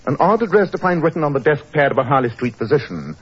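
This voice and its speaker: Incisive English detective, animated delivery. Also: male voice, on the high side, fast, breathy edge. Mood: probing, composed. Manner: incisive, precise, commanding. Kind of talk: 1940s radio acting. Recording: dull old 1940s radio recording.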